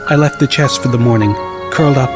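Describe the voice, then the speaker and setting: A man's voice: medium pitch, quick pace, loud music behind the voice. A man reading first-person scripted story narration as an audiobook narrator.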